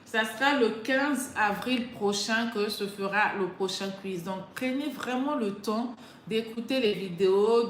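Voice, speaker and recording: A woman's voice: mid-range voice, slight background noise. Woman with a slight cold, echoey room. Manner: chatty, addresses viewers directly, repeats herself. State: slightly congested with a cold, clears throat. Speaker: life coach hosting a live prize draw. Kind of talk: live stream talk to viewers. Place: indoors, alone.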